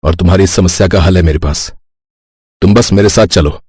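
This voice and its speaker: A man's voice: low-pitched, a little fast, a little mellow, breathy edge, no echo. A man playing an earnest, kindly, reassuring helper in a folk-tale audio story. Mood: earnest, tender. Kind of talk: acted dialogue in an audio story.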